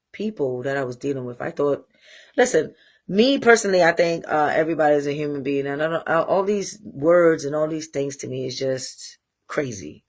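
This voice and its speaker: A woman with a Trinidadian accent hosting a live talk show, speaking candidly and rambling with pauses to find her thread. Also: sits low. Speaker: host. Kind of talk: casual livestream monologue.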